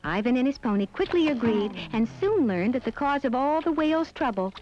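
High-voiced woman narrating a children's fairy tale. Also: animated, fairly quick, a little mellow. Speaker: storyteller narrator. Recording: dull lo-fi soundtrack with music behind. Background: background music.

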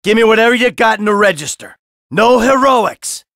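A man's voice: high voice, expressive, normal speed, fairly bright tone, dry recording, studio quality. A man voicing a cynical, brash middle-aged American criminal in a video game, sarcastic and foul-mouthed. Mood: threatening. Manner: sardonic, brash, swearing.

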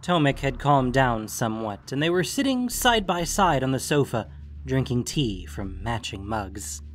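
A man's voice: medium pitch, sing-song, unhurried, slight background noise. A man reading scripted fiction as an audiobook-style narrator. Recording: music bed under the voice. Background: guitar music.

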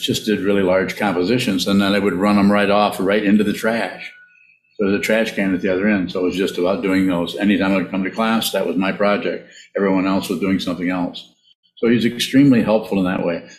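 An older man speaks in a low voice, as a Buddhist teacher would in an informal talk. He is reflective and halting, often repeating a word as he goes. The sound is a roomy, echoey video call. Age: older, around 70s. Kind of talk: informal dharma talk and answering questions. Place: small indoor room, on a video call.

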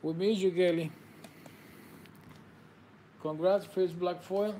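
A man's voice: high-pitched, steady tone, slow pace, dark tone, a little hiss or hum. A man, whose first language is Spanish, hosts a live trading card break, calling out players and congratulating viewers in slightly non-native phrasing. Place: indoor table with card boxes.

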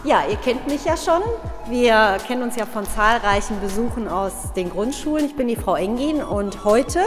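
A bubbly woman teacher with a high, very lively voice greets children. Her words ring on in a large school hall, with faint music beneath. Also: moderate pace. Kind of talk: cheerful welcome to children. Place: school entrance hall, indoors. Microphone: handheld furry mic.

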